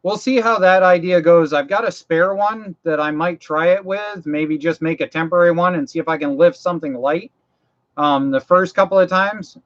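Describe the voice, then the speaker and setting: A man's voice: sits high, moderate pace, a bit bright. A man from Maine hosting a casual livestream, rambling and folksy as he answers viewers' mower-repair questions, his voice getting tired. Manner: rambling, folksy, repeats himself and restarts phrases; practical, walks viewers through mower repairs. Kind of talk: casual live chat. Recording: dull lo-fi stream audio.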